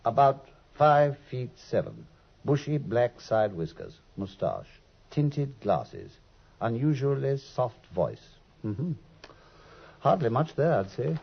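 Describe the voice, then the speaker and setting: An actor playing a good-natured, easily amazed doctor companion in a vintage radio drama, with a hearty, bluff manner. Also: male voice, mid-range voice, sing-song, takes it slowly, gravelly, husky, heavily acted. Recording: dull old radio recording.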